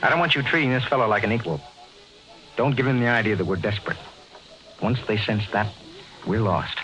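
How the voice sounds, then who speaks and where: Low-voiced, stern space captain. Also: male voice, fairly quick, bright, forceful tone. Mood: commanding. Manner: commanding, formal. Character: stern, proud Earth captain leading a space expedition. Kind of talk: 1950s radio drama acting and narration. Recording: dull vintage radio recording, music behind.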